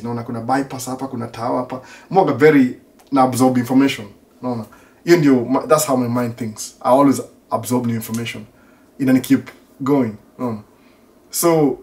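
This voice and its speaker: A man's voice: medium pitch, steady tone, brisk, far from the mic. A middle-aged Kenyan man with an American tinge to his accent, a native Swahili speaker, chatting casually in a rambling, self-repeating way as a podcast host. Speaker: podcast host answering listener questions. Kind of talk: casual chat mixing Swahili and English.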